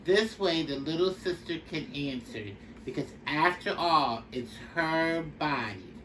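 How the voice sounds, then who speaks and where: Female storybook reader, instructive and very measured, slightly noisy recording. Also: low-pitched, animated, fairly bright tone. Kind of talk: read-aloud of a children's picture book. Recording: distant mic with faint background noise.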